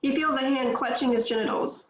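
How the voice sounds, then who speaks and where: A woman reading stage directions in a steady, matter-of-fact way at a table read. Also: sits high, brisk. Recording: echoey, dull, faint noise.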